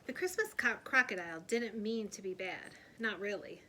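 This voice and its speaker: Bright-voiced woman reading a children's storybook. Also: mid-range voice, normal speed, slight background noise. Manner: animated, putting on character voices. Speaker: read-aloud storyteller, teacher. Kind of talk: expressive children's storybook read-aloud.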